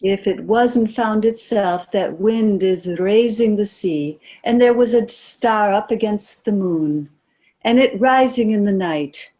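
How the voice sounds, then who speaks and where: Casting: actress as aged mother in rural tragedy, lamenting and fretful, medium pitch. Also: dark tone, close to an everyday voice. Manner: lamenting, plaintive. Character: grieving, fretful old Irish peasant mother. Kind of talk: stage drama read as virtual play.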